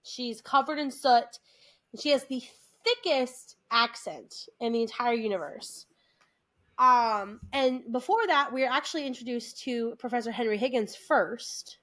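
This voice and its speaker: Bright, high-voiced American woman chatting. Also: measured pace. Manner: chatty, animated, trails off and restarts. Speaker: podcast co-host. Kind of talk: casual chat.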